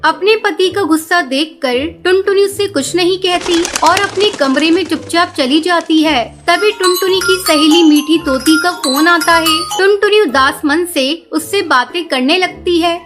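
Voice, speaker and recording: A woman's voice: very high voice, measured pace, few pauses, music in the background. A woman narrating a scripted children's cartoon story as storyteller.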